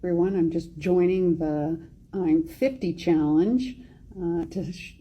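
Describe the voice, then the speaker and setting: A woman in her fifties speaking gently and encouragingly in a casual selfie video. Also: on the low side, a little slow, breathy edge. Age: 50s.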